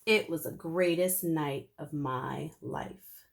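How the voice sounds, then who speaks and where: A woman teacher reading a children's chapter book aloud in an expressive, animated storytelling manner. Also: sits low, slow pace, vocal fry.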